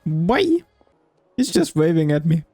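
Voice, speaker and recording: A woman's voice: sits low, big pitch swings, takes it slowly, dark tone, breathy edge, no room sound, soft background music. A woman giving casual let's-play commentary as she streams a video game.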